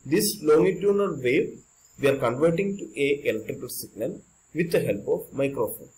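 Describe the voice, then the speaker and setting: A man's voice: a little high, lively intonation, normal speed, darkish tone, a little hiss or hum, rough recording. A man with an Indian accent giving an informal explanatory lecture as an electronics teacher, repeating and rephrasing points in simple non-native English. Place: indoors, screen-recorded voice-over.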